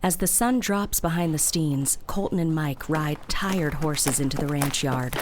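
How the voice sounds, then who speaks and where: Low-pitched woman narrator. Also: measured pace, a little hiss or hum. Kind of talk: scripted audio drama narration.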